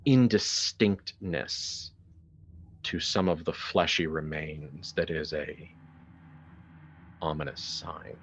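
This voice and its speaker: Slow, very deep-voiced male horror game master. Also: slight background noise. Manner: deliberate, dramatic, halting with pauses. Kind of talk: horror tabletop game narration, improvised.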